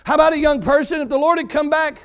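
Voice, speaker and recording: A man in his forties preaching a fervent sermon as pastor, building on repeated phrases and swinging between quiet and loud passages. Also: very high-pitched, fairly even intonation, fast talker. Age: 40s.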